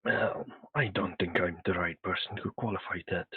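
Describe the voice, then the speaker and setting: A man playing a tabletop roleplaying game online, trading dry deadpan quips. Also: low voice, normal speed, slight background noise. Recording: tinny online call audio.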